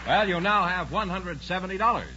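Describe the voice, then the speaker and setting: American announcer on muffled vintage radio. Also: male voice, sits high, a little fast, over a noisy crowd. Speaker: show announcer. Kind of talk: formal 1950s radio announcing. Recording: muffled, faintly noisy old radio recording.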